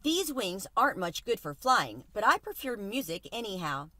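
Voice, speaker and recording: Storybook cricket acted by a woman: young-hearted country innocent, polite, earnest, unsure, bright and expressive. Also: medium pitch, moderate pace, no room sound. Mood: shy.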